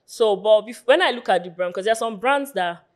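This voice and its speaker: A woman in her twenties from Lagos with a Nigerian accent, a guest influencer chatting casually in a small studio on a boom mic, full of "like" fillers. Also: a little fast. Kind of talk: casual interview chat. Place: small pink-walled studio. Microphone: studio mic on boom arm.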